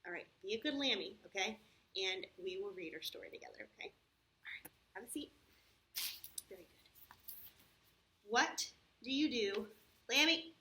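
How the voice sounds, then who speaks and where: A woman hosting a story time for young children, talking in simple, patient, expressive phrases with frequent questions. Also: mid-range voice, moderate pace, long pauses, rough recording. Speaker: children's church story host.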